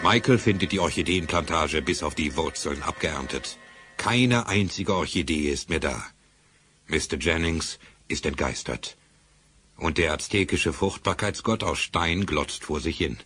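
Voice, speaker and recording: An actor as the storyteller of a German audio drama, giving scripted dramatic narration. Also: male voice, extremely low pitch, unhurried, fairly bright tone, husky, soft background music, full character voice. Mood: narrating.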